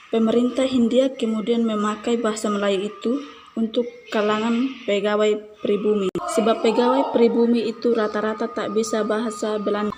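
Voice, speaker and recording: A young woman student reading a class presentation in an even manner, with a few restarts. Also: a little high, a little hiss or hum. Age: young adult.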